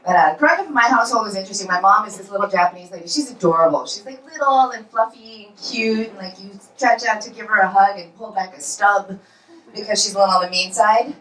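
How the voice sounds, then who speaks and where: A woman's voice: mid-range voice, fast, a bit bright. A woman in her forties doing a stand-up comedy set in a club, telling punchy self-deprecating stories. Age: 40s. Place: comedy club in New York. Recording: roomy club sound, from far off.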